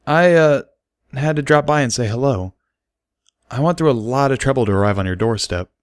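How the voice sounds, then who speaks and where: Guy doing an anxious awkward pony fella, earnest, halting, voice raised up, storybook style. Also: mid-range voice, animated, smooth, dry recording, studio quality, pitched above the performer's own voice, natural voice. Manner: hesitant, stammering. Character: nervous, earnest male pony, awkward suitor.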